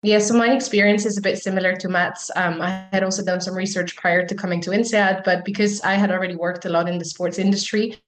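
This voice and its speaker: A woman's voice: mid-range voice, steady tone, quick pace, few pauses. A woman in her thirties from Switzerland, a student guest talking about her background with frequent ums. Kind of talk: casual interview answers. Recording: roomy echo with faint noise.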